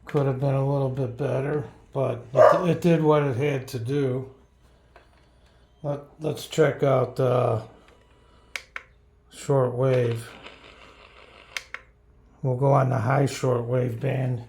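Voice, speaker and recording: A man's voice: mid-range voice, steady tone, takes it slowly, creaky, long pauses, roomy sound, background noise. A man giving a casual hands-on product review, rambling and thinking aloud as he grumbles about drawbacks. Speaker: radio reviewer.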